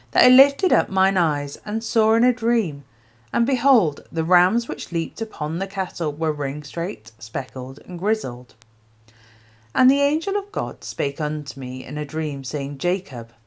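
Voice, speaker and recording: Low-voiced woman reading scripture. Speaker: Bible reader.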